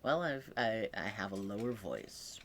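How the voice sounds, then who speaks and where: Young man, unhurried and reflective, voice that sometimes croaks, casual vlog talk. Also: on the low side, animated, fairly bright tone, a little hiss or hum. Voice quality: occasionally croaky voice. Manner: slow, thoughtful, with many pauses and fillers. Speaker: vlogger. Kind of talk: casual personal update.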